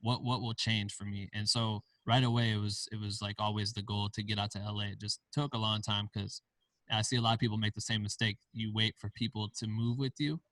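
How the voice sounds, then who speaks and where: Man from iowa, low steady voice, laid-back storyteller, dry sound a bit off-mic. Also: fairly quick, dry recording, recorded from a distance, poor audio. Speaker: podcast guest, film director and founder. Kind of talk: casual interview chat.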